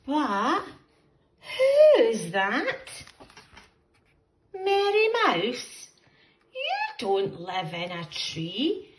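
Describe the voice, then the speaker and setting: Woman as surprised, high-pitched storybook animal. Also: wildly swooping pitch, drawn-out words, long pauses, echoey room, heavily acted. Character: surprised storybook animals scolding intruders in their beds.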